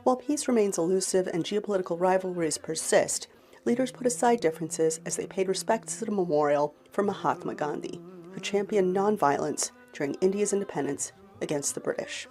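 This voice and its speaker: A woman correspondent delivering a measured news report. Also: lowish voice, dark tone, soft background music.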